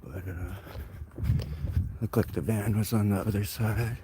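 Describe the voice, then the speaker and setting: A man with a fairly low voice comments casually for a live stream. His delivery is offhand and muttered, as if talking half to himself. The recording is noisy, made outdoors on a handheld camera. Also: fairly even intonation, unhurried. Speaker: citizen videographer live streaming police scene. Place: outdoors on a street and alley.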